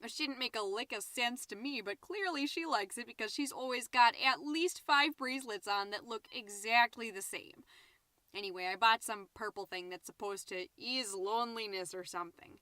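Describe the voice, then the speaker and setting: Woman acting a bright, high-voiced gossipy American suburban mom. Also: normal speed, dry recording. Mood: chatty, wry. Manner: chatty, indignant, with muttered asides. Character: gossipy, petty, easily flustered suburban mom podcaster. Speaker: podcast host. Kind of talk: scripted comedic monologue as chatty podcast.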